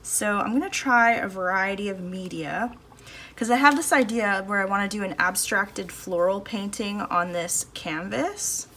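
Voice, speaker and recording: A woman talks her way through a painting session in a relaxed, thinking-aloud manner, her voice neither high nor low. She is recorded on her phone in a home studio, with a faint hum of cars and a fridge under her voice. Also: measured pace. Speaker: art channel host. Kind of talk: casual painting demo chat. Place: home art studio, indoors. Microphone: phone camera above the table, no external mic. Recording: phone audio with faint background noise. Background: faint street and household noise.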